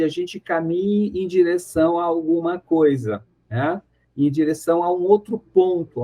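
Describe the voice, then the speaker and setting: A man's voice: on the high side, a little mellow. A Brazilian man speaking as a professor being interviewed on radio, reflective and conversational, often searching for words and repeating phrases. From interior of São Paulo state, Brazil.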